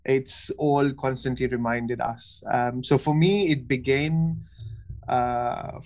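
Man from pakistan, podcast guest, flat even pitch, halting, earnest, lots of fillers. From Pakistan, raised in Kuwait. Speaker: guest, cognitive behavior therapist. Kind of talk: personal story in a podcast interview.

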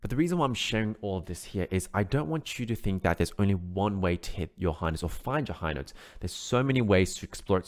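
A man teaching an online singing lesson, explaining steps in an encouraging way and often checking in with "right?". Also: deep voice. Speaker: vocal coach.

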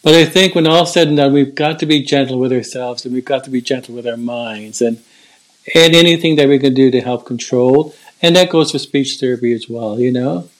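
A man's voice: medium pitch, fairly quick. An older man who stutters, with repeated words and restarts, speaking in an earnest, reflective way in a casual conversation. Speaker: guest.

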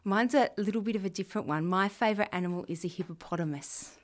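A woman's voice: medium pitch, fast. An Australian woman in her forties, a school leader answering students' interview questions in an earnest, chatty way. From Western Australia. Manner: warm, earnest, chatty with fillers. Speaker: school head of junior years.

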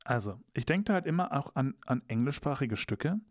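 A man's voice: mid-range voice, lively intonation, a little fast, dry recording. Enthusiastic rambling man, dry close sound. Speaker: podcast co-host. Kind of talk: casual chat.

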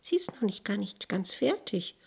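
A woman's voice: mid-range voice, sing-song, moderate pace, gravelly, husky, whispered, pitched above the performer's own voice, full character voice. Woman voicing a tiny, delicate little flower. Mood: gentle. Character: tiny tender young flower, gentle and modest. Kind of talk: fairy-tale storytelling.

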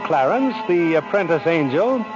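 Old time radio host guy narrating a play, highish voice, loud music under him. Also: big pitch swings, a little slow, highs cut off. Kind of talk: scripted 1940s radio drama narration.

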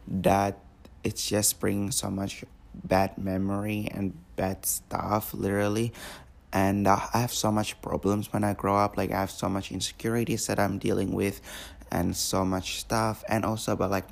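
Teen male podcaster from Indonesia, gravelly voice, loose rambling delivery. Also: fairly low pitch. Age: teens. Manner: rambling, many fillers and repeated words. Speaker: podcast host. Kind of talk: casual solo podcast.

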